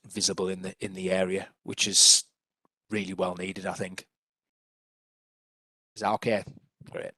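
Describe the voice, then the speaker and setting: Low flat-voiced man presenting. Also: dry recording. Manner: hesitant, many restarts and fillers. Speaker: public health officer presenting. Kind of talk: council meeting presentation.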